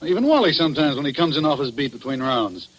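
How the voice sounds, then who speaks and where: Folksy, slangy jail officer. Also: male voice, on the high side, very animated, fast talker, a bit bright. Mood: casual, defensive. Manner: slangy, uneducated grammar. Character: casual, defensive, folksy male jail night officer. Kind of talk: 1940s radio drama acting. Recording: noisy old radio broadcast recording.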